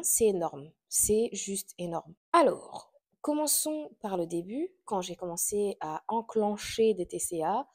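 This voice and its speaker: A woman's voice: mid-range voice, dark tone. A woman in her late twenties, born and raised in Portugal, hosting a casual podcast and talking chattily and confidingly, with frequent fillers and little laughs. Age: late 20s. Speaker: podcast host. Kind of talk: casual personal chat to camera.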